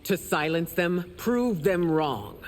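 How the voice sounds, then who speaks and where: A proud, stern game empress with formal, imperious diction. Her delivery swings widely in pitch. The voice rings in long reverb over noticeable noise. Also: expressive, takes it slowly. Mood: solemn. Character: regal, stern empress and mother, proud ruler. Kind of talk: dramatic video game dialogue.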